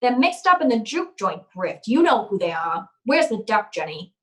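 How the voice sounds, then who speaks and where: A woman's voice: mid-range voice, very animated, moderate pace, natural voice. A woman playing a tough, wisecracking, unflappable private detective in an old-time radio drama, with clipped, slangy delivery. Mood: tough, pressing.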